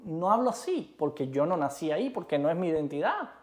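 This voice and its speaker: A man from Cuba with a Cuban Spanish accent, co-hosting a casual chat in an emphatic, animated way. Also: on the high side, fairly quick. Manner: emphatic, animated, repeats rhetorical questions. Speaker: co-host.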